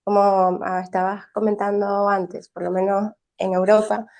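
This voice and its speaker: A woman's voice: medium pitch, level tone, moderate pace, creaky, a little mellow. A young woman who teaches early childhood education, from Venezuela, answering interview questions thoughtfully with frequent restarts and tag questions.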